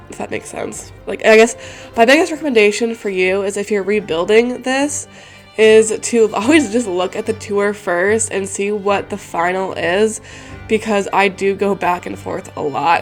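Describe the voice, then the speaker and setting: Young woman, stuffy congested voice, casual rambling chat, soft music underneath. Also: mid-range voice. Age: young adult. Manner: rambling, many fillers and restarts. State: congested, recovering from a cold. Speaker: game building creator. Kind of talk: casual chat over a speed build.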